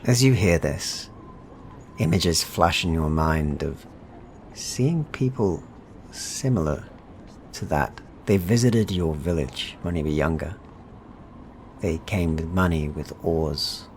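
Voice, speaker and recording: A man's voice: low voice, slow, a little mellow, a little hiss or hum. A man narrating a tabletop fantasy game as game master, in a descriptive, evocative storytelling manner.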